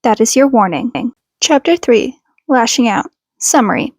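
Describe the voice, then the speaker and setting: A woman's voice: fairly high pitch, lively intonation, moderate pace, dry recording. A woman reading fan fiction aloud as scripted audiobook narration. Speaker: podfic reader.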